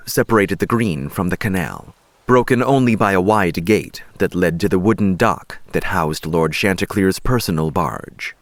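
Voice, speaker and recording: A man narrating a fiction audiobook in a measured, dramatic storytelling style. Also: low voice, no room sound.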